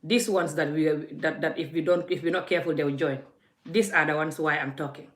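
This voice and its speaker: A woman with a fairly low voice delivers a heated vlog rant, scolding and forthright, talking straight to her viewers. The room around her rings with a noticeable echo. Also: fairly even intonation, fairly quick. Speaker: vlogger. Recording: echoey room.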